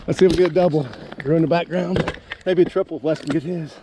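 A man from Ohio giving casual, excitable play-by-play while ice fishing, recorded outdoors on a frozen pond with a body-worn camera. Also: a little high, fast talker. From Ohio, USA. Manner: chatty, excitable, swears casually. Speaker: fishing video host. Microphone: body-worn action camera. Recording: outdoor camera audio with steady noise.

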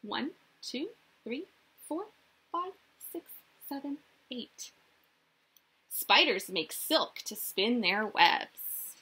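Young woman reading to kids, animated and bright. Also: a little high, very slow, pauses often, breathy edge, rough recording. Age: young adult. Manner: expressive, sing-song, addressing children with questions. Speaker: teacher reading aloud to young children. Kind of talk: children's picture book read-aloud. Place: small indoor room.

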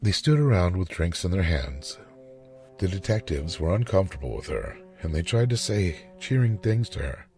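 A male storyteller with a low, mellow voice. He reads a short story dramatically, with animated intonation. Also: moderate pace, faint music behind. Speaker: story narrator.

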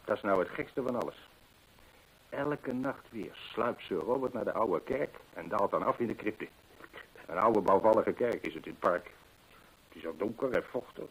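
A low, husky male voice playing an anxious horse trainer in a radio play. He is gossipy and emphatic, telling things as a confidant would. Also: moderate pace, low-quality recording. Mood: ominous. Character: talkative, anxious English horse trainer Mason. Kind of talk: Dutch radio drama acting.

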